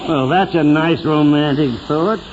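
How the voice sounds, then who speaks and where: An actor playing a kindly, thoughtful older small-town mayor in 1940s radio drama style. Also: male voice, on the high side, measured pace, noisy background, close to an everyday voice. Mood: gentle, thoughtful. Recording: muffled old radio recording with music.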